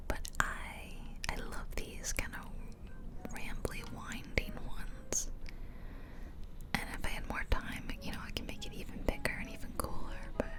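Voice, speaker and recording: A woman whispering softly and breathily, close to the mic, giving casual, gentle ASMR commentary in a rambling, intimate way. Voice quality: soft, breathy unvoiced whisper. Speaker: ASMR video host. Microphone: close ear-to-ear ASMR microphone.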